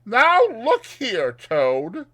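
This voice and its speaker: A man's voice: high-pitched, wildly swooping pitch, extremely slow, pushed and bright, pitched above the performer's own voice, heavily acted. A man voicing a firm, earnest water rat who kindly scolds a friend in a dramatized audiobook. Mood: stern but caring. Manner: emphatic, admonishing.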